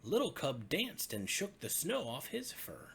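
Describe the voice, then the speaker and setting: A man, a pastor, narrating a bedtime story for children in a gentle, expressive way with deliberate phrasing. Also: fairly high pitch, creaky, a little hiss or hum.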